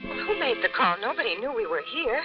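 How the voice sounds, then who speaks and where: A high-voiced, concerned wife. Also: female voice, big pitch swings, fast, music in the background. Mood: puzzled. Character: concerned, trusting young wife. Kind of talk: 1940s radio acting. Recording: dull, noisy vintage radio recording.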